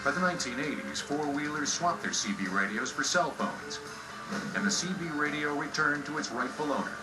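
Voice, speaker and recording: A man's voice: on the high side, animated, a little fast. An American man reading scripted documentary narration with a playful, punchy delivery. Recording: roomy sound under loud music bed. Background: music.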